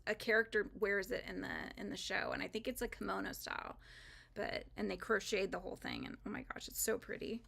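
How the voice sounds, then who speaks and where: A woman's voice: fairly high pitch, creaky, sharp tone. A woman hosting a live crochet stream, chatting casually and rambling with frequent fillers and laughs as she works. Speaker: crochet livestream host.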